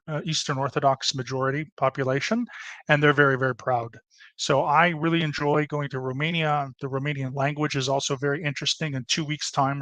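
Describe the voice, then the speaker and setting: Man presenter, chatty history rundown, lots of ums, dry sound. mid-pitched, loose delivery. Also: fairly bright tone, no room sound. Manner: rambling, many fillers and restarts. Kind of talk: casual podcast presentation.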